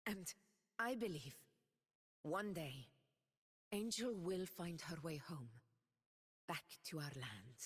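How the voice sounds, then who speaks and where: A proud ex-gladiator noblewoman in a game, slow and low, lots of reverb. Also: expressive, breathy edge, heavily acted. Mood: wistful. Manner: measured, solemn and dignified. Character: proud noble woman, former gladiator leader. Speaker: game character. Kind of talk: game character voice lines.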